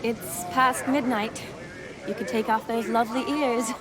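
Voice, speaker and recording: A woman voicing a level-headed young woman in a video game, soft but firm. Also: on the high side, steady tone, unhurried, lightly acted. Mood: gentle, firm. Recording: loud crowd around the voice.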